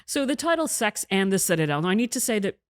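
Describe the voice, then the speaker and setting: A woman's voice: mid-range voice, sing-song, quick pace. A middle-aged woman, an author and journalist, speaking articulately as an interview guest and explaining her ideas at length. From half Egyptian, half British; raised in Canada. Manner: articulate, explanatory, with occasional restarts. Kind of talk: interview conversation.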